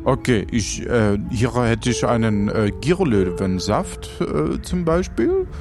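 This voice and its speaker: Man playing a halting, touchy man-eater host. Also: mid-range voice, sing-song, music in the background. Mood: hospitable. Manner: halting, formally polite, easily offended. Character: touchy, hospitable male man-eater serving dubious food. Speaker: game master. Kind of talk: improvised audio drama role-play.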